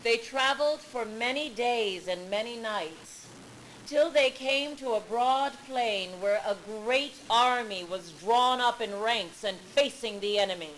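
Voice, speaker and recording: A woman reading a story aloud to a live audience with deliberate, emphatic phrasing. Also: on the high side, fairly bright tone, rough recording. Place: public library event room. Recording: live public event with background noise.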